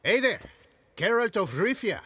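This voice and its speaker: An actor voicing a roguish, swaggering prison inmate in a video game, theatrical and chatty. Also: male voice, high voice, big pitch swings, normal speed, bright, forceful tone, husky, heavily acted. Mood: boastful, sly. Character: cocky, roguish male jailbird, self-styled prison king.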